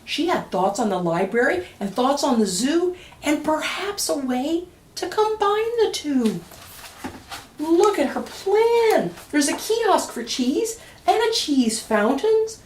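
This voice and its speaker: A woman reading a children's picture book aloud expressively, with deliberate dramatic pauses and emphasis. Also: high voice, sing-song, a little mellow, slight background noise. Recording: echoey room, distant microphone.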